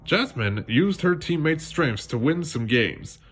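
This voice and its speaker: A man reads a children's story aloud with very animated pitch. He narrates expressively and now and then trips over a word and corrects himself. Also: measured pace, breathy edge. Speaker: storybook reader.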